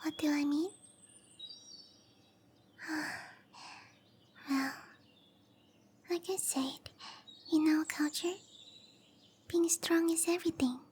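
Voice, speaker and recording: A petite, sweet-natured cowgirl monster girl played by a woman speaks in a tiny, very high and breathy voice. She takes her time, bashful and halting, in the soft, close style of an anime ASMR roleplay. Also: fairly even intonation, slight background noise. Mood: wistful, frustrated. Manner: bashful, hesitant, slow and gentle.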